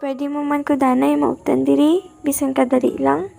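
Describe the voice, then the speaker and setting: A woman's voice: sits high, little pitch movement, normal speed, creaky, warm tone, soft background music. A young woman playing a lonely, lovesick enchanted spirit in an amateur radio drama. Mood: wistful. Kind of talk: amateur radio drama acting in Filipino regional language.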